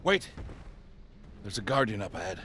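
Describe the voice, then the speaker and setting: A man's voice: on the low side, sing-song, normal speed, background noise. A man playing a spirited, knowledgeable scientist leading an expedition in a video game cutscene, eager and explanatory. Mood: eager, explaining. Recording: music behind the voice.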